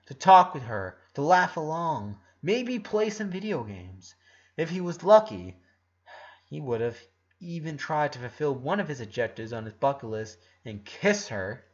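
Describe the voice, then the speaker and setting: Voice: mid-range voice, lo-fi sound. A narrator giving a dramatic reading of prose fiction.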